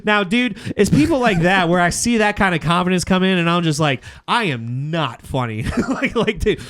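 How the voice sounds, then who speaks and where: A man's voice: high voice, expressive, moderate pace, a bit bright. A man chatting casually on a podcast, telling stories with slangy swearing and acting out bits of dialogue. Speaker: podcast host and comedy show runner. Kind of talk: casual podcast chat, animated storytelling.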